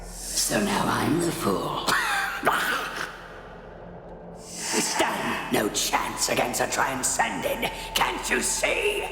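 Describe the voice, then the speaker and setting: A woman's voice: rumbling low, sing-song, drawn-out words, long pauses, roomy sound, long reverb tail, over loud music, exaggerated voice. A woman voicing a mocking, volatile demonic alter ego with a sneering, theatrical, taunting delivery in a video game cutscene.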